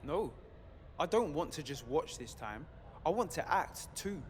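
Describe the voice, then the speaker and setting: A man playing an earnest, determined rebel in a dystopian audio drama, speaking with intense, measured conviction. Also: on the high side, big pitch swings. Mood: quiet conviction. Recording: long reverb, faint background noise.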